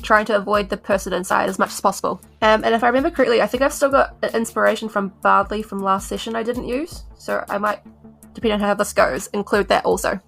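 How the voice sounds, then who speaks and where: Woman, New Zealand accent, lots of vocal fry, casual tabletop player chat, faint music. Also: medium pitch, fairly even intonation, fast talker, a bit bright.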